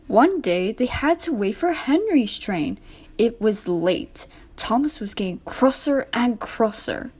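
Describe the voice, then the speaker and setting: A woman with a fairly high voice reads a children's story aloud. She delivers scripted narration as a storybook narrator. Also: big pitch swings, slow pace, a little mellow, husky.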